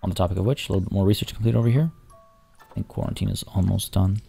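Young male let's-play host, hoarse, mellow low voice, rambling commentary. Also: very fast, soft background music. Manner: rambling, chatty, many restarts and fillers. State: hoarse, losing his voice. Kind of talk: casual gameplay commentary.

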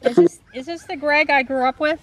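Middle-aged female co-host, slightly high voice, casual ghost-hunt questioning, faint outdoor noise. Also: fairly quick. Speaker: co-host of a ghost hunting vlog. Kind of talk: casual chat and questions put to spirits. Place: outdoors in an open rural cemetery.

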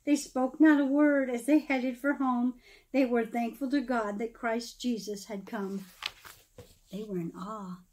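A middle-aged woman reads a rhyming children's story aloud in an expressive, dramatic manner, pausing for emphasis. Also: a little high, a little hiss or hum. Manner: dramatic, emphatic phrasing with pauses, explaining asides to children. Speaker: pastor reading a children's story.

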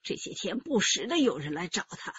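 A grumbling, chatty old woman in a radio drama. She sighs as she talks, her pitch swinging freely, on a slightly muffled recording. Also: very animated, brisk, a bit bright, slightly rough, dry recording, exaggerated voice. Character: elderly grumbling neighbour woman, chatty and compassionate.

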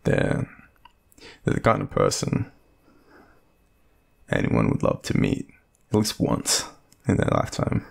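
A young Australian man playing a shy, lovestruck friend in an intimate romantic audio roleplay, speaking hesitantly in a deep, gravelly, breathy murmur. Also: sing-song, measured pace, long pauses, exaggerated voice. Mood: shy, fond.

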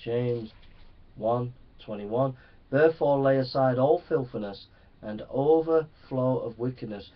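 A man's voice: medium pitch, unhurried. Man preaching, distant dull recording. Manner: earnest, exhorting, often seeking assent. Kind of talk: Bible teaching sermon to young people. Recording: distant microphone, slightly dull sound.

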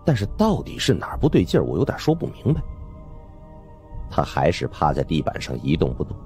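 Very deep-voiced male suspense narrator. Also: a little mellow. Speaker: audiobook narrator. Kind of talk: dramatic scripted suspense narration.

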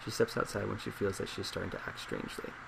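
Male, twenties, ASMR psychologist role play: heavy vocal fry, reflective delivery, loud fan noise. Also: lowish voice, quick pace. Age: 20s. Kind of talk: soft-spoken ASMR role play, reading responses and reflecting. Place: small indoor office at a desk. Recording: steady loud laptop fan hiss.

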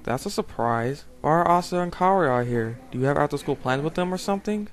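A man's voice: a little high, animated, brisk, natural voice. A man voicing an easygoing, clueless, mild-mannered teenage schoolboy in an anime fan dub. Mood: bemused. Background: background music.

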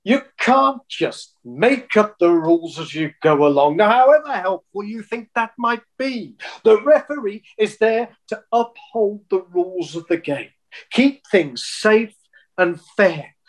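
Dry, exasperated older football official. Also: male voice, high-pitched, a bit bright, heavily acted. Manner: measured, dry, hesitant in reprimand. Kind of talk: filmed comedy acting. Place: room at home, on a video call.

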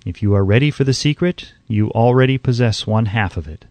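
A man reading an audiobook as scripted narration, in a measured, emphatic way, close to the microphone. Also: lowish voice, darkish tone, dry recording.

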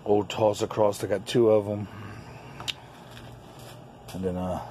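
A man's voice: fairly low pitch, level tone, takes it slowly, mellow. A middle-aged man casually showing things off in short, folksy, offhand phrases. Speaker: toy collector and store owner hosting a vlog. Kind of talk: casual handheld show-and-tell commentary. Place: outdoors in a backyard and cluttered storage shed. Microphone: handheld phone behind the camera. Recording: clearly noisy outdoor phone recording.

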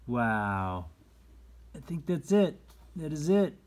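A man's voice: fairly high pitch, sing-song, takes it slowly, a little mellow. A man reading a picture book aloud to young children in simple, encouraging phrases. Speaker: read-aloud storyteller for children.

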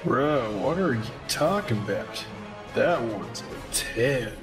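A man voicing a brash young robot-piloting streamer with cocky, slangy bro talk in an animated parody. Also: mid-range voice, slow pace. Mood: casual. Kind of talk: animated comic-book parody acting. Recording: loud music behind the voice.

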